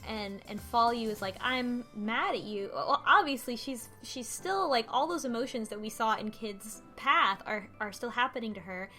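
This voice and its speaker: A woman co-hosting a talk show, casually retelling a story in a halting, conversational way. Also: fairly high pitch, expressive, pushed and bright, soft background music. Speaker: podcast co-host. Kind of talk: casual recap discussion of a story.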